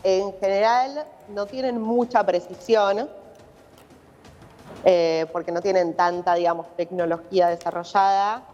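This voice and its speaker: A woman's voice: medium pitch, fairly even intonation, a little slow, dark tone, slight background noise. Argentine woman reporting, in a very echoey room. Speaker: journalist reporting from abroad. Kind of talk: live news interview, explanatory. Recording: muffled remote link, long reverberation.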